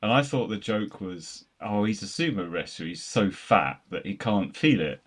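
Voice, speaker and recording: A man's voice: fairly low pitch, expressive, normal speed, husky. A man chatting casually as a panelist on a film discussion, rambling through anecdotes. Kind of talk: casual chat.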